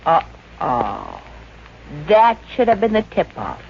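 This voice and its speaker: A woman's voice: on the low side, wildly swooping pitch, takes it slowly, creaky, a little mellow, exaggerated voice. A woman playing a wisecracking, down-to-earth young girlfriend in a 1950s radio comedy, with a blunt, sassy delivery. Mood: dismayed. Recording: dull, hissy old radio recording.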